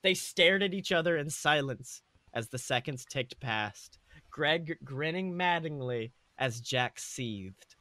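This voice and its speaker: A man's voice: fairly high pitch, expressive, takes it slowly, bright, forceful tone, dry recording. A man co-hosting a podcast, animatedly narrating comic fan fiction and chatting casually. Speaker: podcast co-host.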